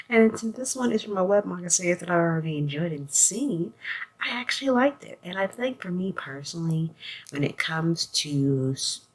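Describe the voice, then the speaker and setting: A woman's voice: fairly low pitch, a little hiss or hum. A woman giving a casual, conversational review as a host, in loose phrasing peppered with filler phrases. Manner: conversational, loose phrasing, often saying "like" and "you know". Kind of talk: casual spoken review with a scripted synopsis read at the start.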